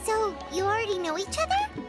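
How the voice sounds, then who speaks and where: A woman's voice: very high-pitched, sing-song, a little fast. A woman playing a tiny, childlike fairy companion in a video game, chirpy and inquisitive. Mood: curious. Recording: loud background music.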